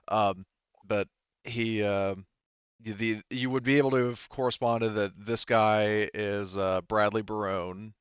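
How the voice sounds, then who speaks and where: A man running a tabletop game as game master, talking informally and haltingly as he searches for words. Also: sits low, fairly bright tone, smooth, no echo, lo-fi sound. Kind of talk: casual game narration at a tabletop session.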